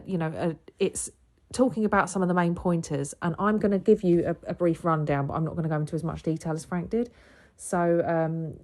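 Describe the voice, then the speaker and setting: Croaky, stuffy-nosed woman hosting a podcast. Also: lowish voice, mellow. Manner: rambling, many ums and self-corrections. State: croaky with a sore throat and blocked nose. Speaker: podcast host and astrologer. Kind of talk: casual solo podcast chat.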